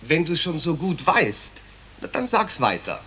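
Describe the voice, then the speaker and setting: A man's voice: on the high side, very animated, a little slow, sharp tone, slightly rough, heavily acted. An actor playing an exasperated, admonishing but patient male schoolteacher in a 1970s children's radio play.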